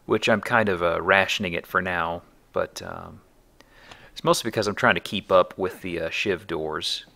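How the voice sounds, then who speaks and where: Male game commentator, low and creaky with a forceful edge; wry, casual, joking asides. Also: moderate pace. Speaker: let's play host and player.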